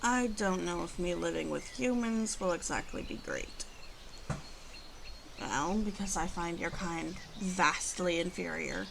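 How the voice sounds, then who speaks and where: A woman playing a proud, guarded but protective female werewolf in an ASMR roleplay, speaking haltingly and hushed, often dropping into whispers. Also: lowish voice, creaky, background noise. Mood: disdainful, unsure.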